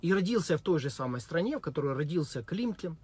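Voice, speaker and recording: A man giving a deadpan, mock-serious spoken monologue with many hesitations and fillers. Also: on the high side, fast, slightly rough. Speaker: vlogger.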